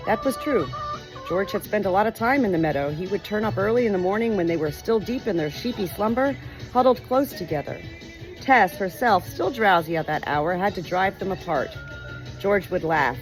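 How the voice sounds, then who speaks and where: A woman reading a story aloud as an audiobook narrator, expressive and measured, with deliberate pauses. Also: mid-range voice, faint music behind, low-quality recording. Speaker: audiobook reader.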